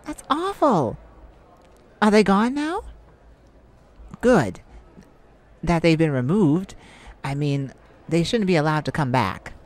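A woman's voice: low-pitched, sing-song, slow, long pauses, a little mellow, pitched below the performer's own voice, full character voice. A woman voicing a shy, sweet, easily flustered young male singer in an ASMR roleplay, with a stammering, earnest manner. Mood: concerned.

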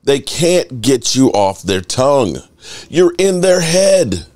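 A man in his thirties hosting a sports podcast from his home studio, slightly hoarse from yelling, talking in a fired-up, profane, drawn-out way into a desk microphone. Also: medium pitch. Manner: animated, profane, drawn-out delivery. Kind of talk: fired-up fan commentary. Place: home studio room full of memorabilia.

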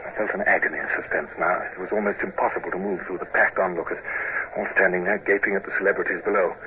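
An actor playing a proud, awkward British naval commodore in a radio drama, speaking formally with clipped, sometimes stammering delivery. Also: male voice, deep voice, fairly even intonation, fast talker, natural voice. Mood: tense, urgent. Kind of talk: 1950s radio drama acting and narration. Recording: muffled, noisy 1950s radio recording.

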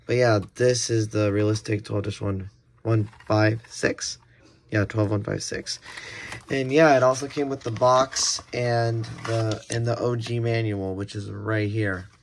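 A man giving casual, unscripted unboxing commentary in a hesitant, rambling way, often correcting himself. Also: on the low side. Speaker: unboxing video host. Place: indoors at a table. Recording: clear background noise, handling clicks.

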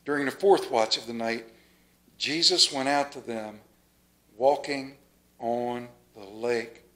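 A middle-aged man, a pastor, preaching a lively sermon in a small church, reading scripture and moving around the pulpit with dramatic pauses. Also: mid-range voice. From United States. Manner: animated, moves about the pulpit, dramatic pauses. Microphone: stand mic at pulpit, far camera.

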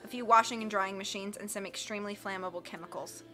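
A woman voicing a timid, bookish librarian man who tries to sound calm. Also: medium pitch, fast, soft background music, lightly acted. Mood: nervous calm. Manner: quiet, trying to sound calm. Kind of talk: audiobook character voice.

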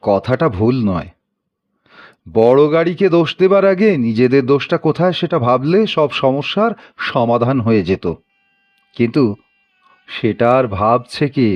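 A man's voice: mid-range voice, animated, moderate pace, long pauses, dull audio, natural voice. A man playing a protective, world-wise husband in an audio drama, cautioning and reflective.

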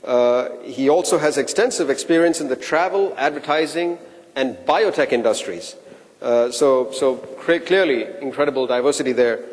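A man's voice: medium pitch, moderate pace. A man acting as event host, summing up earlier talks and introducing the next speaker in a casual way, with many fillers and repeated words. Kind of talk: conference emcee talk. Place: conference hall. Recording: echoey conference hall.

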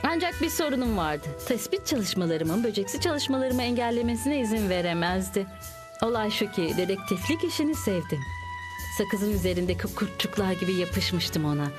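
Cartoon insect heroine voiced by a woman, film-noir detective narration, mock-serious and eager, clear music underneath. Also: mid-range voice, expressive, normal speed, close to an everyday voice. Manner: dramatic, mock-serious storytelling. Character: eager young female insect playing hard-boiled film-noir detective.

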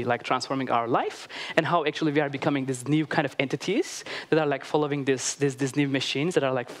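Eager Turkish-accented man presenting on stage. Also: mid-range voice. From Turkey. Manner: enthusiastic, many "like" fillers, loose non-native grammar. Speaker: media artist giving a talk. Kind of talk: conference presentation. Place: large theater stage. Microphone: headset mic.

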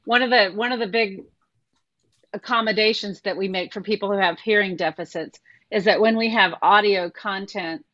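A woman's voice: mid-range voice, fairly even intonation, moderate pace, a bit bright, no room sound, lo-fi sound. A woman presenting a talk over a video call, chatty and asking her listeners questions. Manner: conversational, asks the group questions.

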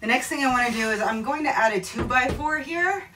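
A woman's voice: sits high, fairly quick. A woman in her thirties casually explaining her trailer renovation as she works, thinking aloud and joking at her own expense. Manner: chatty, self-deprecating, thinking aloud. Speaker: DIY renovation vlogger. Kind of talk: casual how-to narration. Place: outdoors on a driveway, and inside a camper trailer. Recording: loud outdoor noise behind.

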